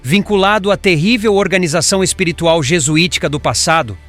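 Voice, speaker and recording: Man reading as higher-pitched solemn spirit mentor. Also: fairly bright tone, faint music behind. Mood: solemn, grave. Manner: solemn, formal, measured delivery. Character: male spirit instructor, patient, solemn, learned mentor. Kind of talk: scripted audiobook narration.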